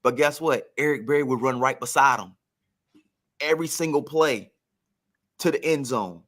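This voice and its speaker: A man's voice: mid-range voice, moderate pace, a bit bright. A man in casual sports talk, telling stories like a former player and repeating phrases for emphasis. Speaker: former pro football player, guest storyteller.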